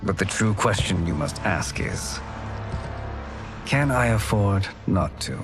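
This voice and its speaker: A man playing a smooth-talking, calculating villain in a video game cutscene, formal and self-assured. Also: low voice, expressive, slow pace, over music, heavily acted. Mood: smoothly persuasive.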